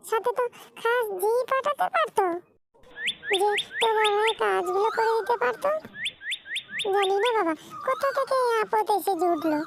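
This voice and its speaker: A woman's voice: very high voice, lively intonation, normal speed, long pauses, very noisy. A woman voicing a nagging, scornful older village mother-in-law who scolds and complains in a cartoon. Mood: scornful scolding.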